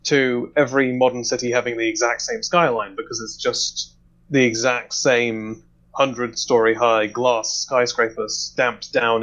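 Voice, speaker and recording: A man explaining his thoughts in a measured way in a casual discussion, recorded over a lo-fi voice chat. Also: medium pitch, a bit bright.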